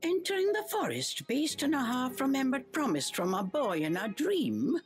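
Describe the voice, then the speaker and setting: A woman's voice: high-pitched, sing-song, moderate pace. A woman playing the storybook narrator of a video game, reading scripted fairy-tale narration. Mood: storytelling. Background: music.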